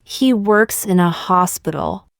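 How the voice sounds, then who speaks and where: A woman reading short practice sentences aloud for learners, saying each one twice, slowly and carefully. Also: medium pitch, gravelly, clear, resonant tone, no room sound, clean studio sound. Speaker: English teacher.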